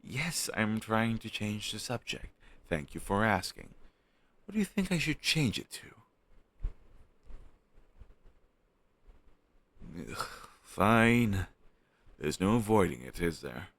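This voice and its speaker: A man's voice: on the low side, sing-song, fairly bright tone, full character voice. A man playing a flustered young man shyly hiding a crush on his best friend, speaking hesitantly and sheepishly in an intimate audio roleplay. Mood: teasing, reluctant. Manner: hesitant, sheepish, halting conversational delivery. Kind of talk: intimate one-sided conversational audio roleplay.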